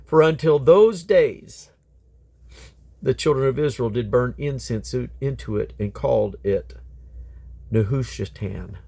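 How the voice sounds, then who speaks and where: A man's voice: medium pitch, takes it slowly, gravelly, warm tone, breathy edge. A man reading Bible scripture aloud in a solemn, declamatory manner, letting his phrases fall away at the end. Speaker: Bible reader.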